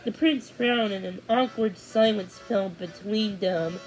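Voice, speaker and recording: A woman's voice: medium pitch, measured pace, soft background music, low-quality recording. A woman narrating a children's storybook as a read-along storyteller.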